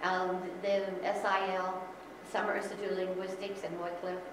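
A middle-aged woman from the Philippines talks informally to a Sunday school class, chatty and given to laughing, restarting her phrases as she goes. She is picked up by a distant camera in a large church sanctuary, so her voice rings with long reverb. Steady background noise sits under her. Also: on the low side, normal speed. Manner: chatty, laughs often, self-correcting. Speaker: guest speaker. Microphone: far camera. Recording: echoey church hall from far off.

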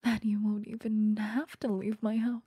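A woman voicing an obsessive, sweetly menacing vampire lover in a breathy, whispered ASMR roleplay, close to the microphone. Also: medium pitch, flat delivery, warm tone, no room sound. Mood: coaxing, affectionate. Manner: slow, intimate, coaxing, threatening. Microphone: close microphone, dry.

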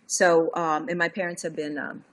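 A woman's voice: low voice, moderate pace. Middle-aged woman chatting on a video call. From Cuba. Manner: animated, thinking aloud with many fillers and restarts. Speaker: painter. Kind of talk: casual interview talk. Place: home studio indoors. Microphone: laptop webcam video call.

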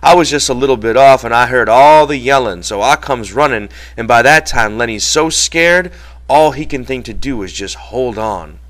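A man voicing a protective, exasperated ranch hand in a storybook reading, telling things forcefully in folksy, ungrammatical speech. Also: mid-range voice, normal speed, voice pushed up, natural voice. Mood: agitated, insistent.

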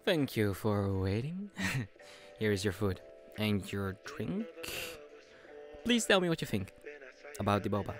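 A man voicing an obsessive, falsely sweet young male friend in an intimate audio roleplay, soft and teasing, often breathy. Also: on the low side, sing-song, faint music behind. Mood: friendly, teasing. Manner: slow, chuckling, teasing, coaxing.

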